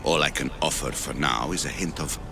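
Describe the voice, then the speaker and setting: An extremely low-voiced old man, solemn and detached, guarding ancient secrets. He speaks formally and slowly weighs his words with a gravelly rasp, over dramatic game music. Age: older. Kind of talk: dramatic video game cutscene acting.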